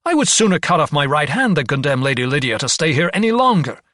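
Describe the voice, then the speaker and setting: Man playing an earnest, forceful young doctor. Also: mid-range voice, normal speed, no echo, studio quality, close to an everyday voice. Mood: outraged. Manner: urgent, formally polite. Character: earnest young male doctor, protective, volatile, easily agitated.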